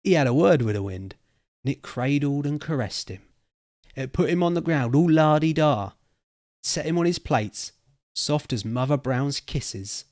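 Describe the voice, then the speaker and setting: A man's voice: fairly high pitch, lively intonation, measured pace, a little mellow, no room sound, clean studio sound, pitched above the performer's own voice. A man voicing an opinionated old cockney pub storyteller, theatrical and emphatic, thick with slang. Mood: relishing.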